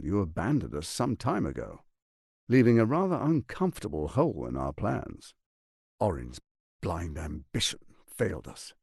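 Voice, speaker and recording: An actor playing a scheming, menacing fantasy tyrant in a video game, silky, grandiose and condescending, speaking with theatrical deliberation. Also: male voice, lowish voice, gravelly, slightly rough, no echo, studio quality, heavily acted. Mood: cool, appraising. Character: scheming, smooth, menacing male tyrant lord and political mastermind.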